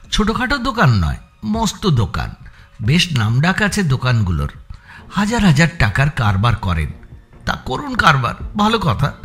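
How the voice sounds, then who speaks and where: Lively male narrator of a suspense story. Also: fairly high pitch.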